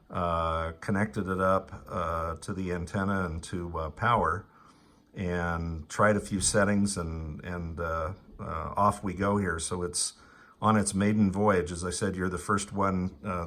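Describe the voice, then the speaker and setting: A man talking casually as an amateur radio operator, explaining gear technically in a halting, hesitant way. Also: sits low, a bit bright. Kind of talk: casual ham radio chat.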